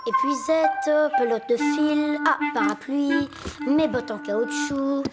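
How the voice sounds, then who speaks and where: A woman's voice: high-pitched, takes it slowly. A woman voicing a confident, inventive little boy in a children's cartoon, with a self-assured, proclaiming manner. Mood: matter-of-fact. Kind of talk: children's cartoon dub acting. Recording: music behind the voice.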